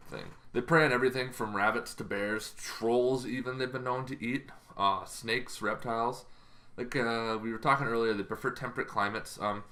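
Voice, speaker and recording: A man with a fairly low but notably bright, forceful voice presents an informal explainer. He speaks off the cuff as a host, rambling a little and feeling his way through each point. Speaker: YouTube host giving game lore advice.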